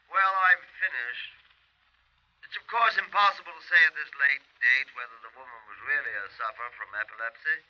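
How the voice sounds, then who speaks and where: Actor as weary pathologist, muffled vintage radio. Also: male voice, medium pitch, natural voice. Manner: emphatic, exact, formal. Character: long-suffering male police pathologist, precise and weary. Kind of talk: 1950s radio drama acting. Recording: muffled old radio recording.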